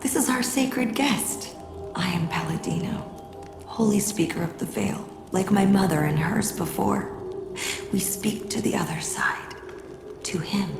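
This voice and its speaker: Fervent, creaky-voiced mystic cult priestess. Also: female voice, mid-range voice, a little slow, reverberant, music in the background. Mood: hushed, reverent. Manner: reverent, theatrical, breathy whispers rising to incantatory cries. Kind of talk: dramatic video game acting.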